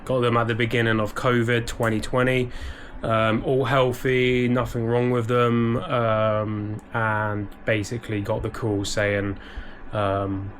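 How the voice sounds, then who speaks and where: A man's voice: medium pitch, level tone, takes it slowly, a little hiss or hum. A British man talking casually to camera from his office, a little stuffy and bunged up, rambling with many ums and restarts. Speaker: eBay reseller vlogger. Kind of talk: casual vlog talk to camera. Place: small home office.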